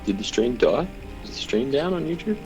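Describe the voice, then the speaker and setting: A man's voice: mid-range voice, sing-song, fairly quick, rough recording. A man hosting a live game stream over a voice call, reading chat questions in a chatty, rambling way. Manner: chatty, rambling, laughs easily. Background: game music.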